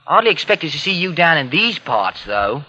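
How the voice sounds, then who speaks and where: Sullen, high-pitched young London ex-convict. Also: male voice, sing-song, normal speed, full character voice. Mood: uneasy. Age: young adult. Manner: sullen, sardonic. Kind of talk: 1940s radio acting, dramatic narration. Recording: muffled old radio recording, loud music.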